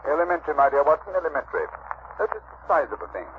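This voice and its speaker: An actor playing a self-assured, sharp-minded gentleman detective with a British accent, clipped and theatrical, in 1940s radio style. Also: a little high, sing-song, very fast. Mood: keen, assured. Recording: tinny, noisy vintage radio recording.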